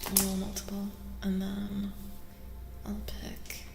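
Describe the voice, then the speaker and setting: A woman doing a soft-spoken ASMR tarot reading as a streamer, breathy and often near a whisper, soothing and affirming, gently repeating her phrases. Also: mid-range voice, monotone, slow pace. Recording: echoey reverb with music behind. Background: music.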